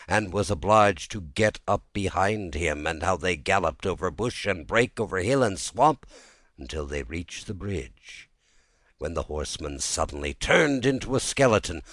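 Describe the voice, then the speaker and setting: A man narrating a classic ghost story as a scripted reading, in a measured storytelling manner. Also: on the low side, no echo. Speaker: audiobook narrator.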